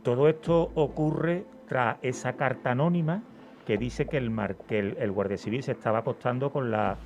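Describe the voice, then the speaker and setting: A man's voice: medium pitch, normal speed, creaky, darkish tone. A man chats casually on a radio panel, questioning and thinking aloud in a tentative way. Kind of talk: casual studio discussion. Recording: dull lo-fi radio audio with music.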